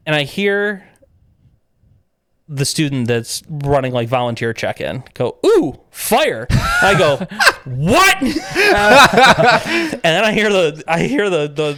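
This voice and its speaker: Man telling a lively story on a podcast. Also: a little high. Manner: lively anecdotes, acting out quoted exchanges with laughs. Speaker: podcast guest, robotics event coordinator. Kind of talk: casual storytelling chat.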